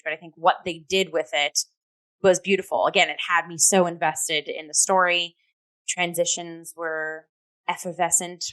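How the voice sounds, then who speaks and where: Lowish-voiced woman, casual arts review chat, gushing, says like a lot and trails off. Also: sharp tone. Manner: effusive, halting, heavy use of "like". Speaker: co-host of theatre review talk show.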